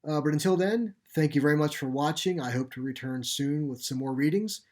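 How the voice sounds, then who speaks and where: A man reading as an author and storyteller, in expressive scripted narration. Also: on the high side, brisk.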